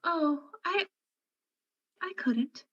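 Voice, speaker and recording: Radio drama role for a woman: timid young country sweetheart, very high and bright, devoted and superstitious. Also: lively intonation, extremely slow, highs cut off, heavily acted. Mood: shy, adoring. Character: shy, adoring young country girl in love, superstitious. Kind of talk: radio play acting.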